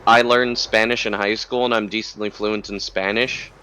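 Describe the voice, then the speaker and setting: Bright-voiced chatty man. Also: fairly low pitch, little pitch movement, normal speed, smooth, poor audio. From United States. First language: English. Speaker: guest chatting with the streamer. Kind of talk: casual chat.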